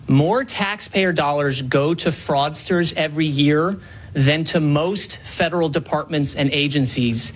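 A man delivering a formal prepared statement with measured, deliberate phrasing. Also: fairly high pitch, fairly bright tone, slightly rough.

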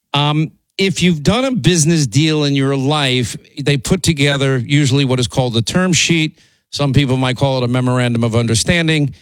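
A middle-aged radio host with a hoarse, strained voice, talking in a long rambling monologue. He circles back and repeats words but keeps pushing on. The studio sound is close and dry. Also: mid-range voice, dry recording, poor audio. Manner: rambling, repeats himself, pushes on. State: hoarse from laryngitis. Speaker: radio talk show host.

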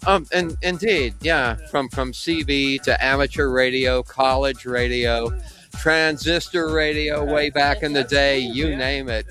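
A man's voice: mid-range voice, measured pace, bright, forceful tone, soft background music. Easygoing man chatting as a radio guest. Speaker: studio guest, truck driver. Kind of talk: casual chat.

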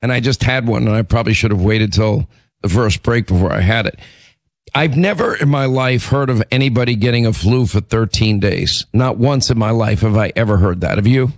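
A middle-aged man hosting a talk radio show with a hoarse, raspy voice from laryngitis, clearing his throat and talking emphatically. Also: fairly low pitch, brisk, no room sound, studio quality. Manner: emphatic, repetitive, combative. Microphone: studio microphone.

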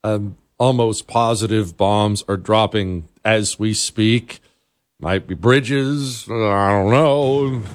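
A man's voice: on the low side, slow pace. Scornful male political show host. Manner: scornful, sarcastic. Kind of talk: opinionated monologue commentary over played clips.

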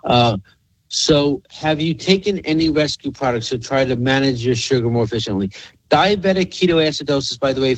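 A man's voice: medium pitch, no room sound, low-quality recording. A man hosting a health radio show, explaining in an emphatic way and repeating phrases for effect. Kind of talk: health talk radio with product pitch.

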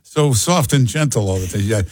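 A man's voice: mid-range voice, animated, normal speed, a little mellow, dry recording. A male talk radio host, bantering and teasing callers in a casual studio chat. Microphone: studio microphone.

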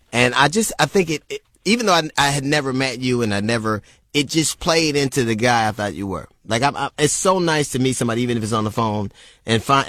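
A man's voice: lowish voice, fairly bright tone, no room sound, studio quality. A man hosting a radio talk show, rambling and laughing often as he chats with guests.

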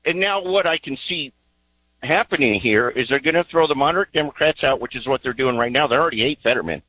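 A man's voice: medium pitch, lively intonation, fast talker, bright, forceful tone, slightly rough, no echo, low-quality recording. A man calling in to a talk radio show, earnest and rambling, with many ums. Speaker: caller.

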